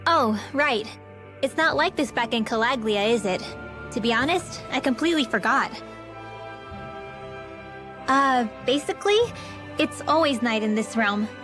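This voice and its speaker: A woman's voice: a little high, a little slow, pauses often, natural voice. A woman playing a guarded, earnest young villager with secret powers in a video game. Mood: explaining. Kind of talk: video game cutscene acting. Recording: music behind.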